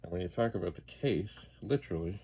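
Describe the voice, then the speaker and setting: Deep, gravelly man thinking out loud. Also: animated. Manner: musing aloud to himself, unhurried asides. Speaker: host of a retro computer video channel. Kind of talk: casual rambling demonstration commentary.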